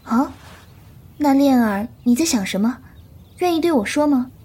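High, tender young female disciple, played by a woman. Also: expressive, normal speed, mellow, breathy edge. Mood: curious, coaxing. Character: gentle, affectionate young female disciple, elder martial sister. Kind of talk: Chinese period audio drama acting.

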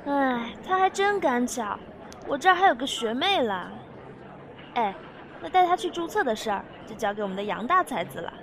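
Casting: actress as outgoing young student guide for radio drama, high voice, chatty, clear ambient noise behind. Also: female voice, big pitch swings, normal speed, fairly bright tone, exaggerated voice. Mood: breezy. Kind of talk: audio drama acting.

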